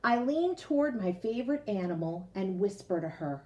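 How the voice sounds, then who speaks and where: A woman's voice: medium pitch, animated, moderate pace, darkish tone, lo-fi sound. A woman speaking as a teacher in a read-aloud lesson for young children, explaining things simply and often checking that they follow. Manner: explains simply, with frequent checking "okay?" and recaps. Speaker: teacher reading a picture book aloud to children.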